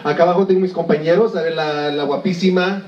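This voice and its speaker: A man's voice: sits high, fairly even intonation, fast, very noisy. A man from Jalisco, a band member, talking nervously and hesitantly. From Jalisco, Mexico. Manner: nervous, hesitant, many fillers. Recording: roomy, distant, dull sound.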